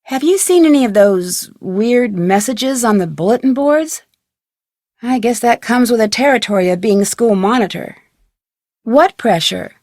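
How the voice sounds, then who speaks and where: A curious young female detective in a video game. Her voice sits on the high side and is recorded dry. She speaks in a friendly, inquisitive way, as if guiding the player. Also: animated, unhurried, darkish tone, no echo. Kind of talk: scripted game dialogue and tutorial narration.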